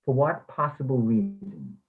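A man's voice: mid-range voice, very animated, unhurried, phone-quality sound. Phone-thin male actor as defensive English instructor. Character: defensive, haunted former English instructor, later retired. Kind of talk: readers theatre play reading.

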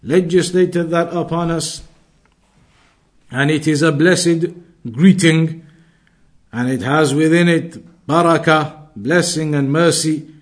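A man teaching an Islamic lesson, explaining in a deliberate way and repeating his points. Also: fairly high pitch, fairly even intonation. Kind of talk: religious lesson, reading Arabic then explaining.

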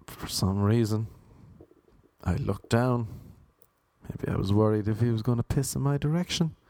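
A young man with a Cork Irish accent, telling stories in a deadpan, self-mocking, rambling way. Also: lowish voice, moderate pace. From Ireland. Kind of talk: casual storytelling monologue.

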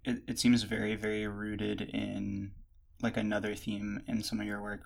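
Gravelly, flat-voiced male interviewer. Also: on the low side. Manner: halting, hedging questions. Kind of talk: casual interview questions.

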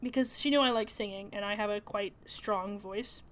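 Bright-voiced young woman chatting on a podcast. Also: mid-range voice, moderate pace. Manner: chatty, storytelling, with frequent fillers. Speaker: podcast co-host. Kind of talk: casual podcast chat.

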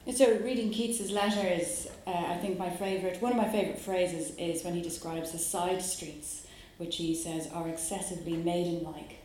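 An Irish woman from Dublin, a poet who talks her audience through her work in a musing way, pausing on fillers, then reads her poems aloud at a live event. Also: lowish voice, fairly even intonation, background noise. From Ireland. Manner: musing, with hesitant fillers between phrases. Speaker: poet giving a reading. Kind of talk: poetry reading with spoken introduction. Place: live reading venue. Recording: very echoey room.

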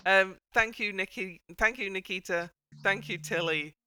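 A woman's voice: medium pitch, normal speed, pushed and bright, clean tone, no echo. A woman hosting a casual chat show, commenting in a wry, wordy, mock-dramatic way. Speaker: podcast host.

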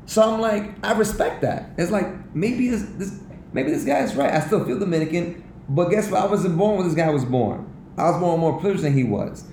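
Animated, cursing New man. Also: high voice, fairly quick. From New York. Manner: animated, profane storytelling with laughs. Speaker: podcast host. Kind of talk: casual conversational interview. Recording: echoey room with faint background noise.

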